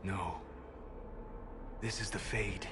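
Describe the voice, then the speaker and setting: A scholarly mage in a fantasy game. His voice is on the low side, calm and precise, with a thoughtful air. Clear noise lies behind the speech. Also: slow. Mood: quiet wonder. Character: calm, learned male mage, quietly fascinated. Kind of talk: video game cutscene acting.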